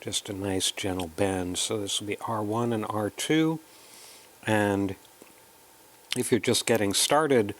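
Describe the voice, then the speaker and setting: A man narrating a hands-on tutorial in a casual, halting way, thinking aloud as he works. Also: on the low side. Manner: unhurried, halting, thinking aloud while working. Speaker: electronics hobbyist presenting a kit build.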